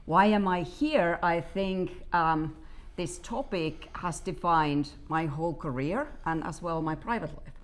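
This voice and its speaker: A woman speaking as a founder on a panel, in a brisk and articulate way. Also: fairly low pitch. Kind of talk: panel answer.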